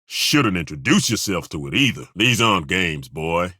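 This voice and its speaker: An actor playing a gruff, folksy middle-aged male dwarf fighter with a dry, wry, plainspoken way of talking, in video game voice acting. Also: deep voice, very animated, normal speed, pushed and bright, dry recording, full character voice. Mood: dry banter. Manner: dry, wry and plainspoken, with roaring battle cries. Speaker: party companion.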